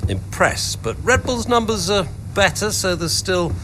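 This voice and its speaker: A man playing an imperious older businessman and team owner in a video game drama, measured and commanding. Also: sits high, big pitch swings, fairly bright tone, slight background noise, exaggerated voice, rough recording. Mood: condescending approval. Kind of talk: cinematic video game acting.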